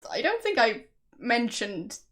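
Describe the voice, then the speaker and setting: Young Austrian woman streamer, unhurried, a bit high, chatty, laughs often. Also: very animated, fairly bright tone. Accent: Austrian German. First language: Austrian German. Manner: chatty, laughs often, answers chat guesses. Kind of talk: casual chat with stream viewers.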